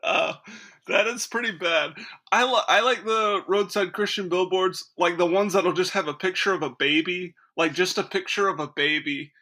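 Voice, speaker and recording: A man's voice: sits high, moderate pace, sharp tone. A man hosting a podcast in casual comedic chat, with a dry, mock-earnest manner. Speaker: podcast host.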